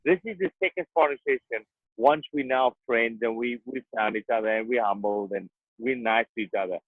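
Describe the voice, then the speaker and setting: A boxer, talking earnestly and emphatically in an interview, often repeating himself. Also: male voice, mid-range voice, brisk, no echo. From South Africa. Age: 30s. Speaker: boxer guest. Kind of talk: interview conversation. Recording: tinny phone-like dry sound.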